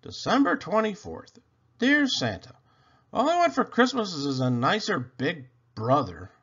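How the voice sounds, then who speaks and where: A man's voice: sits high, wildly swooping pitch, measured pace, a bit bright, breathy edge, pitched above the performer's own voice, full character voice. A man voicing a hopeful, earnest little girl in a storybook reading. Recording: dull lo-fi recording.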